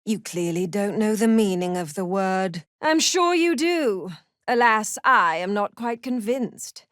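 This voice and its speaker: A woman playing a cruel, zealous cult prison warden in a fantasy video game, haughty and sardonic, with measured, threatening delivery. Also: mid-range voice, animated, a bit bright, no room sound, studio quality. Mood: sardonic contempt. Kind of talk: video game character voice acting.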